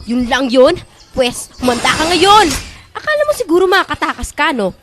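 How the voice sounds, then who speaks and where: A woman's voice: sits high, big pitch swings, normal speed, slight background noise. A woman playing a fierce, cocky young ghost hunter, bold and taunting, in a cartoon fan dub. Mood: taunting, aggressive. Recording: fan dub under loud music. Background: loud music.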